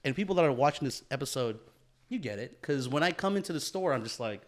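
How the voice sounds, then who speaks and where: A man in easygoing podcast conversation, meandering through his thoughts with constant "you know"s. His pitch sits in an ordinary middle register, and he pokes fun at himself as he goes. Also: animated, fast. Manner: rambling, heavy on "you know" fillers, self-deprecating. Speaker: podcast co-host. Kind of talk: casual podcast chat.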